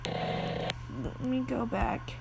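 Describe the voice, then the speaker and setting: A woman's voice: fairly high pitch, very slow, vocal fry, very noisy. A woman hosting a reaction video, chatting casually and reading on-screen text aloud.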